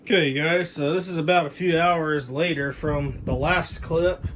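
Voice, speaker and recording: A man with a fairly high-pitched voice gives a casual, informal walk-through in home-video style. He chats as a hobbyist showing off his setup. The sound is that of a handheld camera mic indoors. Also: fairly even intonation, a little slow, fairly bright tone, a little hiss or hum. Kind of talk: casual handheld show-and-tell narration.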